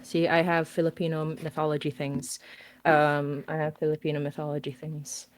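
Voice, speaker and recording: A woman's voice: low voice, little pitch movement, normal speed, dry recording. A woman chatting casually on a livestream, bubbly and rambling, laughing often. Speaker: podcast guest, horror writer. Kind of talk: casual stream chat.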